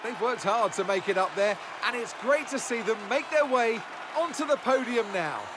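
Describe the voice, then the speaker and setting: A man's voice: very high voice, lively intonation, normal speed. A man playing a Formula 1 television commentator in a video game, with projected, polished broadcast delivery. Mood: upbeat. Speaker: race commentator. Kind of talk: sports commentary. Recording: loud crowd noise behind.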